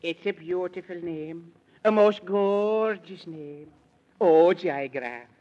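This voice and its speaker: A man's voice: sits high, takes it slowly. An actor voicing a whimsical, fretful lion king in a 1930s children's radio play, with a dithering, theatrical delivery. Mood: overjoyed. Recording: muffled vintage 1930s radio recording.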